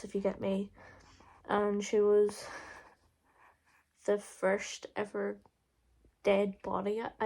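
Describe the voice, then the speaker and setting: A young woman with an Irish accent talks her way through a Q&A for her vlog. Her voice sits mid-pitch with a gravelly fry at the edges. She speaks loosely and bluntly, padding with "like" and "um.". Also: darkish tone. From Ireland. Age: young adult. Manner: chatty, frequent "like" and "um" fillers, blunt swearing. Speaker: vlogger. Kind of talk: casual Q&A vlog.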